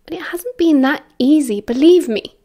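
A woman's voice: sits high, animated, normal speed, warm tone, voice pushed up. A woman voicing a hot-headed, defensive teenage schoolboy in a story, snappy and sarcastic yet anxious. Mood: defensive, annoyed. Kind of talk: dramatised story reading.

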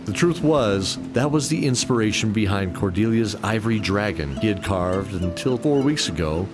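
A man's voice: lowish voice, animated, moderate pace. A man giving scripted narration of a fantasy adventure story in an audio drama. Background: music.

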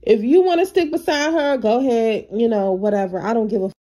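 A woman's voice: a little high, fairly quick, dark tone. An American woman talking casually and bluntly on a confrontational phone call, with a dismissive manner. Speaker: caller, vlogger.

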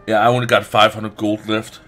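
A man's voice: on the low side, level tone, brisk, a bit bright, faint music behind. A man doing casual gameplay commentary, muttering to himself in short bursts. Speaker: let's play streamer.

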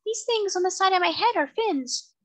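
Tiny seahorse played by a woman, very high voice, chipper, boastful, small but distinct, storybook character. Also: expressive, normal speed, fairly bright tone. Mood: chipper, proud.

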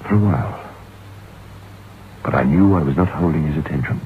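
An actor playing an anxious, haunted novelist in an old-time radio drama, speaking gravely and deliberately. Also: male voice, rumbling low. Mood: grave, brooding. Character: haunted, anxious male novelist narrating his ghost story. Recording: muffled, noisy old radio recording.

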